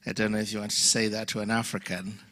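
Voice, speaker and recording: A man from Uganda with a Ugandan English accent, preaching as a guest in church, jovial and self-deprecating, rising to loud exclamations. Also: lowish voice, little pitch movement, fast talker, a little hiss or hum. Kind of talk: sermon. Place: church sanctuary.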